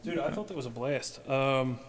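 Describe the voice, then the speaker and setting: A man's voice: medium pitch, lively intonation, moderate pace, crowd noise. A man playing a tabletop game and chatting informally with friends. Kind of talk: casual chat during gameplay.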